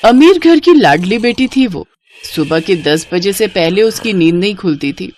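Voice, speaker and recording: A woman's voice: mid-range voice, expressive, moderate pace, darkish tone, slight background noise. A woman narrating a scripted children's moral story as storyteller.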